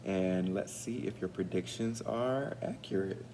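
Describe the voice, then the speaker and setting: A man with a lowish voice reads a storybook to schoolchildren. He takes his time and puts questions to his young listeners. Some room noise sits behind him. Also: measured pace, warm tone. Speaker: educator reading aloud to schoolchildren. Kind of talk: storybook read-aloud.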